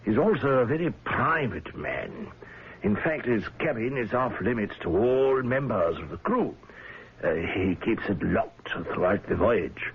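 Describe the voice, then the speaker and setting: Husky, animated whaling boss. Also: male voice, fairly low pitch, a little slow, full character voice. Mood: uneasy, confiding. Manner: hesitant, avuncular, chuckling. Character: gruff, genial middle-aged whaling fleet owner. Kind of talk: old-time radio drama acting. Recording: dull, lo-fi old radio broadcast.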